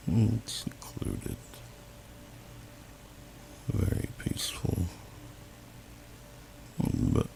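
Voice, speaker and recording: Deep, gravelly old dragon. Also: male voice, warm tone, exaggerated voice. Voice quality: very deep, gravelly, breathy growl. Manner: slow, pensive, archaic formal phrasing. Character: gentle, wise old male dragon, welcoming host. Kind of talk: ASMR fantasy roleplay. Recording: noisy amateur home recording.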